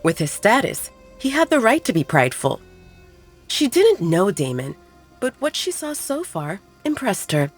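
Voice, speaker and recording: A woman's voice: mid-range voice, sing-song, moderate pace, husky. A woman narrating a scripted romance audio drama as an audiobook storyteller, with music behind her. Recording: music bed under the voice. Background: background music.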